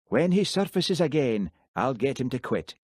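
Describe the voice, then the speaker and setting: A man's voice: mid-range voice, big pitch swings, normal speed, darkish tone, breathy edge, dry recording, pitched above the performer's own voice. A man voicing a dour, wry village policeman with a dry, grumbling manner in an audiobook. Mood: gloomy. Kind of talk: audiobook character voice.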